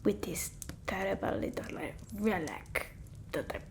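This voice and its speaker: A woman voicing an eccentric centuries-old female vampire in an ASMR roleplay, speaking softly and often whispering, close to the mic. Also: mid-range voice, natural voice. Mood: suspicious, alarmed. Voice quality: soft, breathy, often whispered. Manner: halting, theatrical, with quaint phrasing. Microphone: close ASMR microphone.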